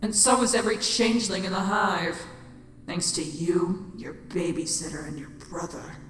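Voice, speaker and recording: A woman's voice: fairly low pitch, normal speed, natural voice. Woman playing a weary insect queen in echoing reverb. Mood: deadpan, weary. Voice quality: tired, weary. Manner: deadpan, scornful. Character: dying, bitter female changeling insect queen villain. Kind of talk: dramatic fan fiction audio drama acting. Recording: long echoing chamber reverb, music.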